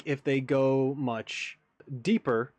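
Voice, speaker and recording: A man's voice: medium pitch, steady tone, slow, darkish tone, dry recording. Casual man with a dry, close-miked sound. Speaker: reaction video host. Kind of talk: casual reaction commentary.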